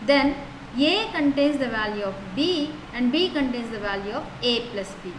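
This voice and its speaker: A woman teaching a programming lesson, talking through code step by step in a steady, explanatory way and often starting with "so" and "now". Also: high voice, moderate pace. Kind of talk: lecture walking through code. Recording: echoey room with noise.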